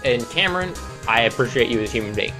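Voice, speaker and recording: A man's voice: medium pitch, lively intonation, fast, music in the background. A man hosting a casual chat in a playful, teasing way with dry humour. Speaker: podcast host.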